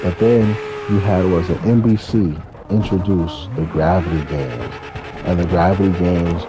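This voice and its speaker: A man, telling stories in a casual, rambling, slangy way with some swearing. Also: low voice. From California, United States. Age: 30s. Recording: noisy, with car engine sounds behind. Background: racing game car engines.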